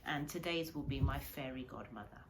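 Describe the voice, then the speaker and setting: A woman's voice: very deep voice, a little fast, noisy background. A woman, a primary school teacher, talking informally to her pupils for a filmed story time, addressing them directly. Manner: addresses children directly with questions.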